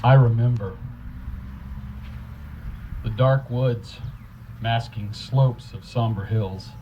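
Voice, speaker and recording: Male poetry reader, slow measured recitation, low pitch, muffled live sound with background noise. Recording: dull live recording, clear background noise.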